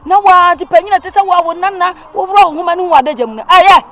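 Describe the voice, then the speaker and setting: A woman's voice: fairly high pitch, animated, fast. An actress playing a mother who lectures her child at length, with emphatic repeats. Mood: stern lecturing. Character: stern older mother lecturing, then pleading with her child. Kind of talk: Twi-language family drama acting.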